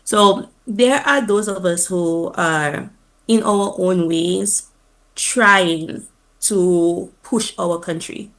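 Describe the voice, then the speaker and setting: A woman with a Dominica accent and a somewhat low voice, chatting as a radio guest. She speaks with lively pride, laughs easily, and fills her sentences with "um" and "like". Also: drawn-out words. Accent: Dominican (Dominica) Caribbean English. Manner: animated and proud, laughing often, with fillers like "um" and "like". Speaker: guest, teacher. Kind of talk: casual radio interview.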